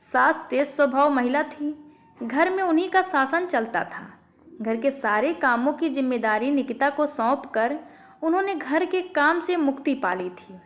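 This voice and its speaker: A woman's voice: high-pitched, animated, a little slow, muffled recording. A woman storyteller reading a scripted family moral story in audiobook narration. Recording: faint background music. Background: faint music.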